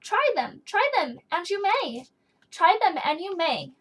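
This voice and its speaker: Woman reading as a high, eager little storybook pesterer. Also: sing-song, a little slow, a bit bright, full character voice, low-quality recording. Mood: persistent coaxing. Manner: eager, coaxing, sing-song questions. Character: small, happy, persistent storybook pesterer.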